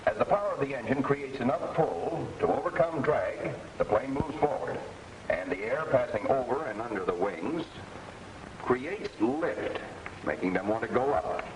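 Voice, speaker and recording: An American man narrating a scripted 1940s instructional film in a clear, didactic manner with measured emphasis, like an instructor. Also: mid-range voice, animated, over a noisy crowd. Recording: noisy 1940s film soundtrack, distant.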